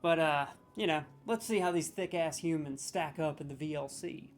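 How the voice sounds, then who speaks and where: A man's voice: on the high side, normal speed, faint music behind. A man hosting a comedic video, ranting sarcastically with mock outrage as he scores game voice lines.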